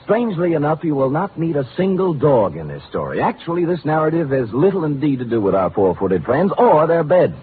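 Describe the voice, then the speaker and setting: An actor playing a breezy, wry, wordy, hard-drinking private detective in a 1940s radio drama. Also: male voice, on the high side, sing-song, brisk, hardly any pauses, narrow, tinny audio. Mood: wry, brisk. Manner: wry, glib, theatrically wordy. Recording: thin band-limited old radio recording, faint noise.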